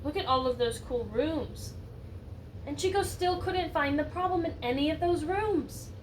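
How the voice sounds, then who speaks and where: A woman with a high voice reads a children's story aloud in an animated, teacherly way. She engages young listeners with questions and asides. The mic sits at a distance, with light noise behind her. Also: measured pace. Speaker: teacher reading a picture book to children.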